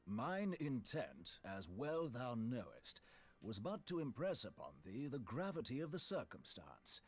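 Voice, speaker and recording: Husky, archaic scholarly man. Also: medium pitch, wildly swooping pitch, full character voice. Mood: indignant, grave. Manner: formal, measured, grandiloquent. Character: stiff, scholarly man speaking in lofty archaic phrases. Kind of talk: fantasy video game acting.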